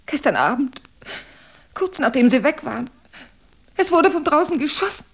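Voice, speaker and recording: A woman's voice: high-pitched, sing-song, normal speed. A woman playing an elegant, earnest lady in a radio drama, speaking expressively and with feeling. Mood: distraught. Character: elegant woman of Spanish descent, earnest and emotional. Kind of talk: German radio drama acting.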